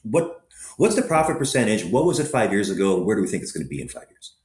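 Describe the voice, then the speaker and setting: A man's voice: fairly low pitch, fast talker, echoey room. A man from Southern California, a restaurant operator and investor, talking through anecdotes in an interview with lots of fillers. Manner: anecdotal, many fillers, lively storytelling. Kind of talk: conversational interview answers.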